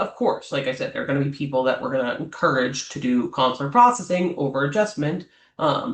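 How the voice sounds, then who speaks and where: A man speaking as an immigration lawyer, giving advice to clients off the cuff and often checking in with a quick "right?". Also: mid-range voice, a bit bright. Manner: talks off the cuff, ends many points with a questioning "right?"; frequent "um" fillers and restarts. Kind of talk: explanatory advice talk to clients. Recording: roomy echo.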